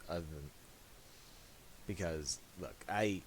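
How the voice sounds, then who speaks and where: A man narrating a casual software tutorial, thinking aloud in a halting way. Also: sits low. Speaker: tutorial presenter. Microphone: voice-over for a screen recording. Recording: hissy amateur voice-over.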